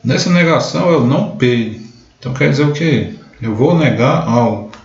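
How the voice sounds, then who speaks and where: A man's voice: medium pitch, animated, moderate pace, gravelly. A man teaching a logic class in a live online lesson, explaining step by step and often starting with "então". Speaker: math teacher. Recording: echoey room, dull lo-fi sound.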